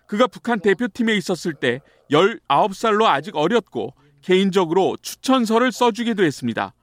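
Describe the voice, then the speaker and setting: A man with a high, lively voice reads a translation in a measured, formal way. The sound is dry and close. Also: no echo. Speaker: voice-over interpreter. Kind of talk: read translation.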